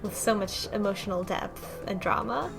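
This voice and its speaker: A woman of average pitch chats casually as a show co-host. She has a wry, sarcastic manner, with some music faintly behind her. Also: normal speed, vocal fry. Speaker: podcast co-host. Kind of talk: casual chat.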